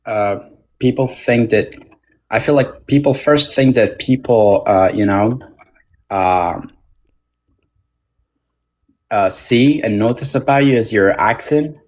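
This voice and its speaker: Halting young man, heavy with fillers. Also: medium pitch, darkish tone. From Tajik American, born in Moscow. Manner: halting, many fillers and restarts. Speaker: podcast guest. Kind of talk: casual interview talk.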